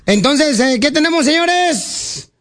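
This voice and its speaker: A man with a Mexican Spanish accent hosting a radio prank call, talking in a deadpan, mock-official way. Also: extremely high pitch, slow. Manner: deadpan, feigning formal officialese. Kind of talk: prank call and studio banter.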